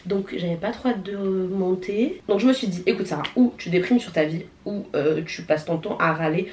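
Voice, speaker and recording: A woman's voice: lowish voice, moderate pace, darkish tone, slight background noise. A woman in her late twenties from southern France, chatting casually in a vlog with many fillers and self-mocking asides. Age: late 20s. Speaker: vlogger. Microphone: handheld camera.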